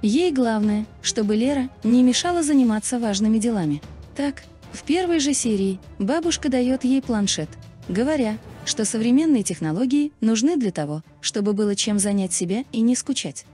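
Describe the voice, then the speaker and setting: Female narrator, scripted explainer read; soft-edged tone, very varied intonation, music bed. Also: fairly high pitch, lively intonation, moderate pace. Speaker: video narrator. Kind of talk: scripted documentary-style narration. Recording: music behind the voice. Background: background music.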